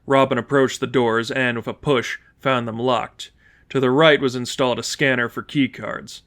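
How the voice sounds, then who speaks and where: A man's voice: medium pitch, steady tone, normal speed, fairly bright tone. A man reading fan fiction aloud as scripted audiobook narration.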